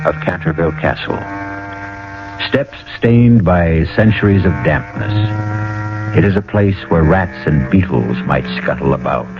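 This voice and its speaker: A man hosting and narrating a scripted radio mystery drama in a theatrical, suspenseful way. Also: deep voice. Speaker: host and narrator. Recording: tinny old radio broadcast, music behind. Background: music.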